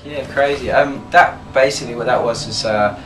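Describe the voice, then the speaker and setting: A young man from Birmingham talks casually in an interview, steady and measured with many fillers, as band leader. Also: mid-range voice. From Birmingham, England. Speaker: band leader, guitarist and singer. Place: band label office. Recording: roomy office, distant mic, music behind.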